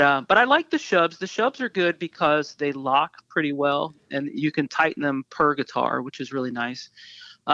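A man in his early fifties, a guest musician in a casual interview, talking in a rambling way with many restarts and fillers. His voice sounds high for a man. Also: pushed and bright, no room sound, lo-fi sound. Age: 50s. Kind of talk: casual interview about guitars.

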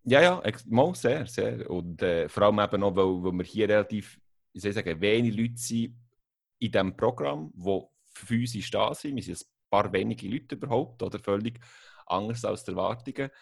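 Swiss German young man, very deep fry-edged voice, dry sound, hesitant pace on a video call. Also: normal speed, no echo. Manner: hesitant, many fillers and restarts. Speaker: student abroad. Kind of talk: casual group call.

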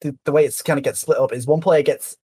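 A young man from Yorkshire with a light Yorkshire accent, chatting casually as a guest, soft-spoken and a bit hesitant. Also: a little high, fairly even intonation, fast talker, breathy edge, no room sound. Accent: light Yorkshire English. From Yorkshire, England. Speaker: speedrunner guest. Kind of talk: casual chat.

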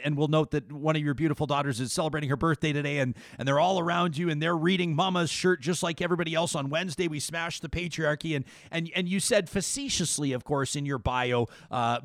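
Animated male radio host with a dry close sound. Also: on the high side, no room sound. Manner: animated, repeats words as he builds questions. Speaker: radio talk show host. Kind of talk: interviewing a guest.

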